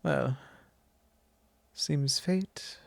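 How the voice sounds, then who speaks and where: A courteous vampire lord, played by a man, confides softly in an ASMR roleplay. His voice has a gravelly creak and moves very slowly, in formal, hesitant phrases. It is solemn and tender, very close and dry on the microphone. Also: a little high, no echo, exaggerated voice. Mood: gentle, apologetic. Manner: measured, formal, hesitant phrasing with soft breathy drops. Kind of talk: ASMR roleplay, soft intimate monologue.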